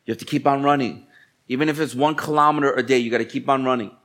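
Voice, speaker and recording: A man's voice: medium pitch, fairly even intonation, fast, a bit bright. Colloquial male pastor preaching. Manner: colloquial, exhorting, repeats phrases for emphasis. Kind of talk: sermon.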